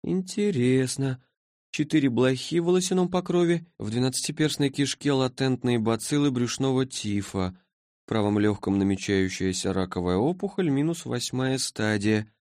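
A man's voice: medium pitch, moderate pace, a little mellow, no room sound, studio quality, pitched above the performer's own voice, natural voice. A man voicing a languid, sleepy female being from the far future in an audiobook, with a listless, clinical drawl. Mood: drowsy, clinical.

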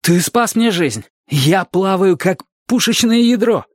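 A man's voice: a little high, normal speed, no echo, studio quality, voice pushed up, natural voice. Man playing a bold, raised-voice young adventurer. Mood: urgent. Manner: emphatic, earnest exclamations. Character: bold, loyal, hot-headed young adventurer, male.

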